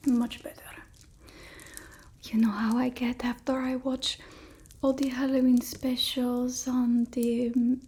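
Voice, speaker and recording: Casting: theatrical old vampire mistress for ASMR roleplay, soft mellow whispery delivery, unhurried and scornful of humans. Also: female voice, high voice. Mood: musing, sated. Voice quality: breathy, often whispering. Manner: broken old-world English, dramatic and haughty.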